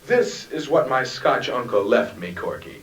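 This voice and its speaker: A man's voice: mid-range voice, big pitch swings, measured pace. A man playing a grandiose, hapless uncle with pompous theatrical delivery in a 1950s radio sitcom. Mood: sheepish. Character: grandiose, hapless uncle dreaming of sudden riches. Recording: noisy, echoey old radio transcription, distant mic.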